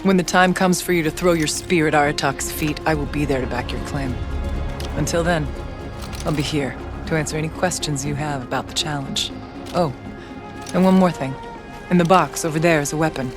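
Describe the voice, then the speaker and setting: A man's voice: on the high side, normal speed, natural voice. Actor as solemn shaman, music behind. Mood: instructive. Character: solemn, wry Banuk shaman guiding an outlander. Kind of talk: dramatic video game dialogue.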